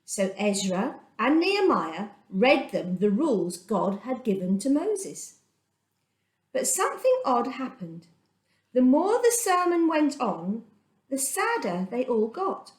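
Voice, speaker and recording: A woman reading a children's Bible story aloud as a storyteller, with dramatic, deliberate phrasing and emphasis, recorded in an echoey room. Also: fairly high pitch, big pitch swings.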